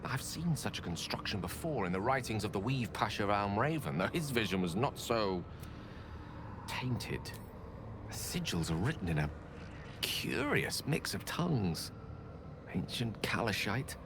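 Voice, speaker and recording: Low-voiced scholarly wizard. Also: male voice, big pitch swings, a little slow. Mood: musing. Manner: eloquent, scholarly, musing aloud. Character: learned, wordy male wizard, adult. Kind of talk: video game voice acting. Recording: game audio with clear background noise.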